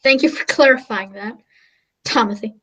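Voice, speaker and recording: A woman playing an earnest, melodramatic, easily flustered radio news reporter in a comic radio play, halting and polite. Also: a little high, bright, forceful tone, muffled recording.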